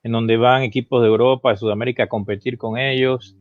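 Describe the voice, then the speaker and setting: A man's voice: medium pitch, fairly even intonation, fairly quick, no room sound. Lo-fi video-call host, a man. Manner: affirming, stammering restarts. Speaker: host and football coach. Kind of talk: webinar interview host.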